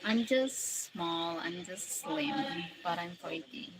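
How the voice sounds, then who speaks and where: A woman's voice: on the low side, expressive, slow pace. A Filipino woman in her forties, chatting casually on a live stream, greeting viewers and thanking them one by one. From Philippines. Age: 40s. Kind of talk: casual live chat, reading out viewer comments. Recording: loud background noise.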